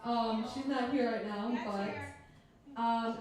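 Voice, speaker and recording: A teenage female high school athlete answering questions as a guest in a casual interview. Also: slow pace, a crowd in the background. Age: teens. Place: pub stage, live interview. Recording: echoey pub, distant mic, loud background noise.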